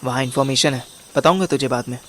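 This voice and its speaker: A man's voice: mid-range voice, quick pace, slight background noise, lightly acted. A man narrating and playing a scheming, overweight amateur detective in an audio drama, with a wry, colloquial manner. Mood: conspiratorial. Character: overweight, scheming male amateur detective telling his story. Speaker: narrator and lead character.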